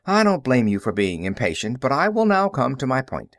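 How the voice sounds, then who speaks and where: Old male scientist played by a male narrator: abrupt, probing, highly animated, storybook delivery. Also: brisk, dry recording, studio quality. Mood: earnest. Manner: snapping, probing, emphatic.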